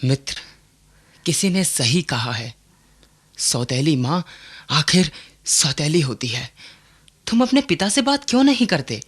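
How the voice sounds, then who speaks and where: An actor playing a concerned, sensible young friend who questions and counsels in earnest, formal diction in a radio play. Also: male voice, fairly high pitch, big pitch swings, measured pace, long pauses, fairly bright tone, slightly rough, full character voice. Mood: concerned, urging. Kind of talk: Hindi radio play acting.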